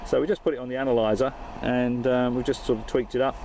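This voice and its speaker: A man's voice: mid-range voice, fairly even intonation, quick pace, a little mellow, a little hiss or hum. A man talking casually and jokily as an amateur radio operator and video host, recorded inside a car. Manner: jokey, matey, informal. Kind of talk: casual radio chat and vlog narration.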